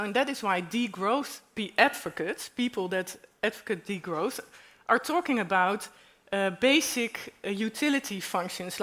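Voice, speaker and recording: A woman's voice: medium pitch, a bit bright. Dutch-accented woman, emphatic panelist. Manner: emphatic, fast, searches for English words. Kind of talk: panel discussion answers. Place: stage at a university event.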